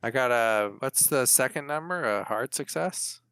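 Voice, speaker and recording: A man's voice: mid-range voice, lively intonation, measured pace, pushed and bright, clean tone, dry recording. A man playing a tabletop roleplaying game, talking casually about what his character does.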